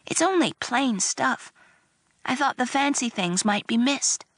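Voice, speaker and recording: A woman reading aloud, voicing a timid, kind young servant girl who speaks in hushed, nervous tones. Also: high voice, animated, a little slow, dry recording, pitched above the performer's own voice, exaggerated voice. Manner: whispery, hushed.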